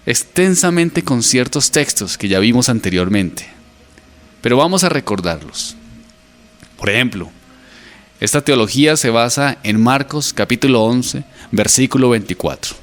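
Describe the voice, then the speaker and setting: A man's voice: mid-range voice, animated, unhurried, soft background music. A man with a Colombian Spanish accent, a pastor delivering an emphatic sermon. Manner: emphatic preaching, mocking repeated quotes.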